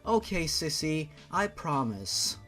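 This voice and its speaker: A man's voice: fairly high pitch, big pitch swings, slow pace, bright, forceful tone, faint music behind, full character voice. A man voicing an earnest, obedient young woman in a story reading. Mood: earnest, reassuring.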